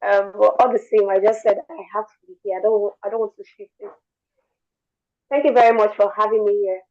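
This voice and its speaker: A young woman with a worn, hoarse voice, giving an earnest motivational talk over an online call. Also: mid-range voice, steady tone, brisk, dull audio. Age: young adult. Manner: earnest, repeats words while speaking. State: lost her voice. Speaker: guest speaker.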